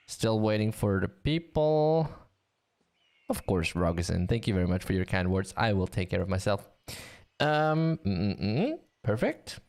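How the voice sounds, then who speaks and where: A man's voice: lowish voice, darkish tone. A man hosting a live game stream with a sore throat, chatting in an upbeat way with many fillers.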